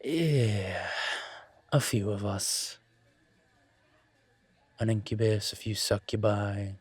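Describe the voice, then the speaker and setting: A man playing a calm, courteous incubus professor and investigator in an intimate audio roleplay, speaking considerately with careful pauses. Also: fairly low pitch, husky, no echo. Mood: earnest. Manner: measured, considerate, hesitant pauses. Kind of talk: scripted audio roleplay, intimate one-sided conversation.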